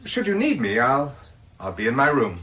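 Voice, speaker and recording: An actor playing a polite, hesitant English ex-army captain in a British radio drama. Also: male voice, mid-range voice, wildly swooping pitch, normal speed, pushed and bright. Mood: apologetic, awkward.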